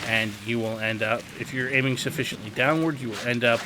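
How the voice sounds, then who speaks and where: A man's voice: medium pitch, steady tone, fairly quick, background noise. A man giving a casual, instructive video game tutorial in an opinionated, emphatic way. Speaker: video game guide host.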